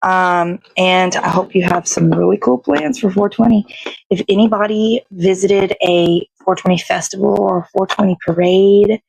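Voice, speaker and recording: A woman's voice: medium pitch, creaky, a little mellow, no echo, poor audio. A woman hosting a morning show with casual, laid-back, rambling chat.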